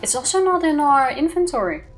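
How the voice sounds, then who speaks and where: A woman's voice: sits high, sing-song, brisk, faint music behind. A woman co-hosting a let's-play, chatting casually through puzzles with playful banter. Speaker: co-host playing a video game.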